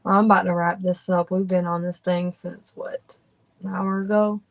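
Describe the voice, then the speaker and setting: A woman's voice: on the low side, normal speed, gravelly. Laid-back young woman streamer. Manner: relaxed, drawling, chatty. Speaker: streamer and host. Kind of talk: casual livestream chat.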